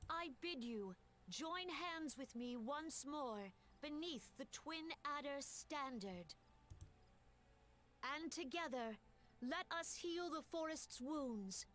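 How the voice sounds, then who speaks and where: A woman voicing a stately female leader of a forest nation, delivering formal, measured oratory to a memorial gathering in a video game. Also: high voice, fairly bright tone, full character voice.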